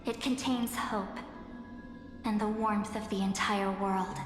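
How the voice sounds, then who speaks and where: A woman playing a solemn, mysterious, guarded figure in a game cutscene, speaking gravely and deliberately in poetic phrases. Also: medium pitch, steady tone, natural voice. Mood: grave, urgent. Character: solemn, mysterious, guarded female bearer of warnings. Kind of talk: dramatic game cutscene monologue. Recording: echoey reverberant hall sound. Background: music.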